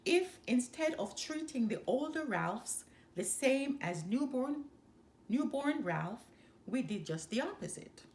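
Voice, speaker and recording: A woman's voice: sits high, very animated, slow pace, a little mellow, slight background noise, voice pushed up, exaggerated voice. A woman voicing an earnest, exasperated mother in a children's storybook, emphatic and pleading. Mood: hopeful suggesting. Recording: roomy, echoing sound.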